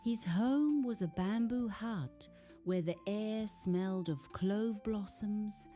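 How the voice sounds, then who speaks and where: A woman narrating a scripted children's bedtime story in a soothing, expressive storybook manner. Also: mid-range voice, takes it slowly, faint music behind.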